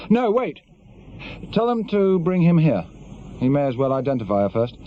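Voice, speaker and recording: Brisk police inspector, lively pitch. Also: male voice. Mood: commanding. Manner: curt, probing, authoritative. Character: brisk, commanding male police chief inspector. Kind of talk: naturalistic radio drama acting. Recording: dull lo-fi radio recording, noisy.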